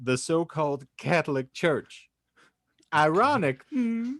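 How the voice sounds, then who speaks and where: A man's voice: fairly high pitch, very animated, slow, a bit bright, no echo, clean studio sound, voice pushed up, exaggerated voice. Man putting on a prim, gushing devout housewife. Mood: indignant, preachy. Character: sweetly earnest, self-righteous devout housewife reading her author's note. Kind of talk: theatrical reading of fan fiction notes.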